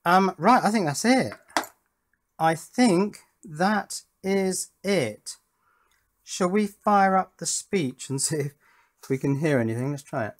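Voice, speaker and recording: A man's voice: high voice, lively intonation, slow pace. A man giving a casual narration of hands-on electronics work, thinking aloud and putting questions to himself and his viewers. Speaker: electronics hobbyist presenter.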